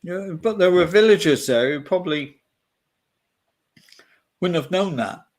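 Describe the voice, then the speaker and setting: A man's voice: sits high, expressive, unhurried. Hesitant man with a Devon accent. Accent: Devonshire English. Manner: hesitant, many fillers and restarts, laughs often. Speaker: podcast co-host. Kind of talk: casual film chat.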